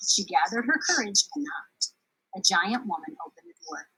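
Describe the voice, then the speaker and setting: Animated, bright-voiced woman storyteller. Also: mid-range voice, moderate pace, a little hiss or hum, poor audio. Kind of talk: expressive children's story reading.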